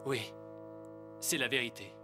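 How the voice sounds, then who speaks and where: An actor playing an earnest, humane young Hebrew prince with a resolute manner in a dubbed animated film. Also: male voice, medium pitch, unhurried, loud music behind the voice, lightly acted.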